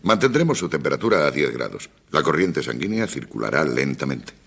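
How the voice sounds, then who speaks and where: An actor in a radio drama playing an authoritative, methodical doctor with a gravelly, husky voice and a formal, measured way of explaining. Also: male voice, very deep voice, sing-song, fairly bright tone, exaggerated voice. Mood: methodical, explanatory. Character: authoritative male doctor briefing a surgical expedition, calm, methodical.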